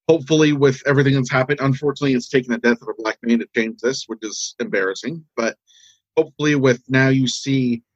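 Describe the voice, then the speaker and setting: A man with an American accent giving earnest opinions in casual conversation, in a halting, rambling way. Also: mid-range voice, husky, no room sound, highs cut off.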